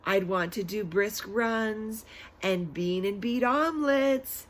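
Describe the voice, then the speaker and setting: A woman's voice: mid-range voice, slow pace, natural voice. A woman voicing a dramatic, bargaining young girl in a children's book, pleading and emphatic. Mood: sweet pleading. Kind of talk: children's book read-aloud.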